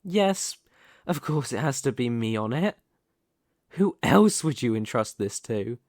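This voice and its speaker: A man playing a possessive, ambitious young mafia boss in an ASMR roleplay, speaking deliberately and condescendingly with pointed, theatrical pauses. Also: mid-range voice, big pitch swings, a little mellow, no room sound, studio quality. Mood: grandiose, persuasive. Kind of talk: ASMR roleplay, dramatic monologue to the listener.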